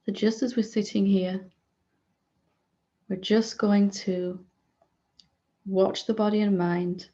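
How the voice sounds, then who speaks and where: A woman leading a guided meditation as a spiritual teacher, speaking gently in short unhurried phrases with long pauses between them. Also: mid-range voice, steady tone, lo-fi sound.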